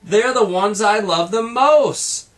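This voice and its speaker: A man's voice: high-pitched, slow, fairly bright tone, pitched above the performer's own voice. A man voicing a plucky, determined young boy hero from a storybook, speaking earnestly and emphatically. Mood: indignant.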